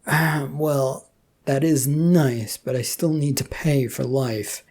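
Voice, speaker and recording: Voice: medium pitch, fairly even intonation, slow, a little mellow, husky. A narrator voicing an anxious, exasperated man with a hesitant, sarcastic manner in a story reading. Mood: worried, suspicious. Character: exasperated, anxious everyman, reluctant prophet of a god.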